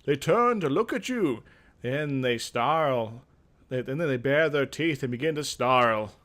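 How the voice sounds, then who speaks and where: A man's voice: medium pitch, expressive, a little slow, fairly bright tone. A man hosting a gaming video, reading a fantasy game aloud theatrically and dropping into casual asides. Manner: theatrical storytelling broken by offhand asides. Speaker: gaming video host and narrator.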